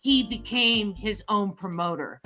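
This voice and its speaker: A woman's voice: medium pitch, lively intonation, a little slow, sharp tone, soft background music. A woman co-hosting a casual chat show, rambling and thinking aloud with fillers while she draws. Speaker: co-host drawing a comic.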